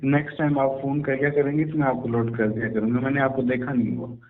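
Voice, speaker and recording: A man's voice: mid-range voice, little pitch movement, quick pace. Pakistani man on a tinny phone line. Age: 40s. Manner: polite, explanatory, repetitive. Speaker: mobile top-up shopkeeper. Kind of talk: casual phone conversation. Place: small shop, over the phone.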